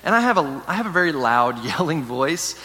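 A man preaching a sermon, telling a personal story in a casual, animated way. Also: a little high, moderate pace, long reverb tail. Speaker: pastor.

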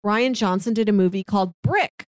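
A woman's voice: medium pitch, a little fast, no echo, clean studio sound. A woman co-hosting a podcast, chatting casually about films with her fellow host. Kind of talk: casual film review chat.

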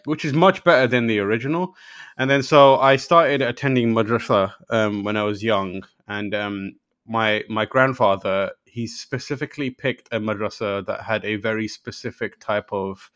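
A man tells stories in a low voice, laughing as he goes and acting out the people in them. The sound is dry and close, with no room around it. He speaks as a relaxed podcast guest. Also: no room sound. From Pakistan. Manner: laughing storyteller, mimics voices in anecdotes. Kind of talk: casual chat.

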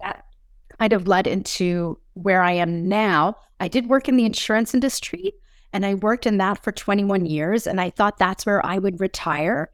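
Middle-aged woman, interview guest, personal testimony, medium pitch, dry close sound. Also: moderate pace, dry recording. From Newfoundland, Canada. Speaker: podcast host as interview guest.

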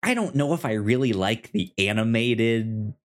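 A man co-hosting a podcast in casual chat, halting and often repeating words as he works out his thoughts. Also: on the low side, lively intonation. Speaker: podcast co-host discussing a TV series.

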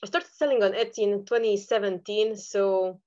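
A woman's voice: mid-range voice, steady tone, moderate pace, darkish tone. Romanian-accented woman, slightly dull recording. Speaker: podcast guest, online seller. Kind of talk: conversational interview answers.

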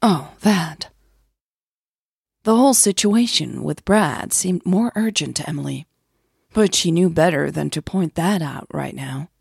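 A woman's voice: deep voice, expressive, moderate pace, creaky, a little mellow, breathy edge, dry recording, studio quality. A woman narrating a scripted audio drama as its storyteller.

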